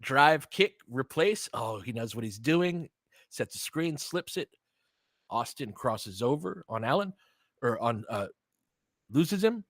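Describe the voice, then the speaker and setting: A man's voice: medium pitch, animated, takes it slowly, no echo. A man calling a basketball game in a conversational, slangy way, swearing casually as he mixes play-by-play with analysis. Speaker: podcast host doing play-by-play.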